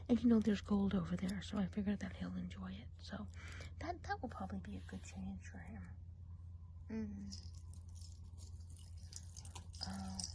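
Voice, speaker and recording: A woman playing a friendly, gossipy witch of the woods in a hushed, chatty ASMR role play, in a soft breathy whisper. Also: mid-range voice, noisy background, lightly acted. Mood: gossipy, confiding.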